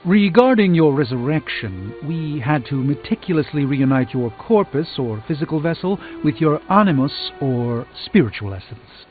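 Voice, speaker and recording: An actor playing a pompous, learned sorcerer in a video game, speaking in a grandiose, lecturing way. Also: male voice, fairly high pitch, big pitch swings, moderate pace, hardly any pauses. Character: pompous, theatrical, learned male sorcerer and mystic. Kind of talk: theatrical video game dialogue. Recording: thin phone-like band, music behind.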